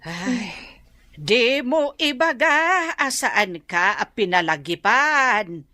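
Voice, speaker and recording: A woman's voice: a little high, lively intonation, takes it slowly, pushed and bright, no room sound, studio quality, full character voice. A woman with an Ilocano accent playing an exasperated, nagging mother in a radio drama. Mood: scolding. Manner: nagging, exclaiming. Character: scolding, exasperated mother of a grown debtor.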